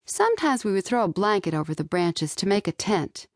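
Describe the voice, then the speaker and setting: A woman's voice: mid-range voice, very animated, fairly quick, no echo, studio quality. A woman reading scripted first-person narration from a young-adult novel as an audiobook narrator. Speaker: author narrating own audiobook. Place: dry studio.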